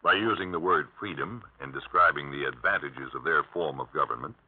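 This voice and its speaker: A man's voice: extremely low pitch, brisk, husky. A man delivering a formal, oratorical scripted address on vintage radio. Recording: vintage radio broadcast, muffled.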